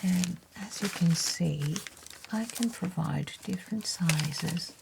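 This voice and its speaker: A woman playing a courteous, attentive letter writer in an ASMR roleplay, speaking in a soft, breathy whisper with gentle, formal deliberation. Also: on the low side, takes it slowly, background noise, full character voice.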